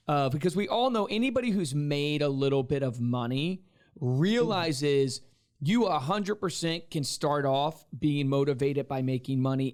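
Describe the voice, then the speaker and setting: A man co-hosting a business podcast, speaking in an enthusiastic, motivational way. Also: on the high side. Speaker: podcast co-host. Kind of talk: podcast interview.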